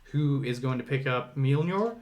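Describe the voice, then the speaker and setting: A man's voice: medium pitch, expressive, normal speed, noisy background. A man co-hosting a podcast and leading a casual film discussion. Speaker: podcast co-host.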